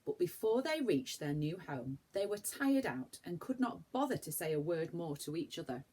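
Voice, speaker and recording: A woman reading a children's story aloud like a primary school teacher, with animated, dramatic emphasis for young listeners. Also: lowish voice, moderate pace, slightly rough.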